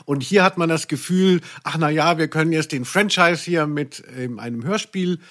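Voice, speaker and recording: Male podcast host, bit higher pitched, musing and wry, casual chat. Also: normal speed, a bit bright.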